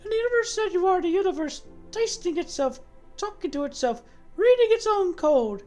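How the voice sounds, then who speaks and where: A woman's voice: extremely high pitch, animated, fairly quick, mellow, faint music behind, voice pushed up. A woman voicing a fervent, dreamy godlike being in a dramatic reading of game dialogue, with emphatic delivery. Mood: tender, emphatic.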